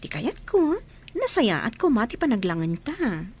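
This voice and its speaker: An actress playing a kind, gentle woman who reassures a child and plans to be her stepmother. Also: fairly high pitch, very animated, a little slow, breathy edge, heavily acted.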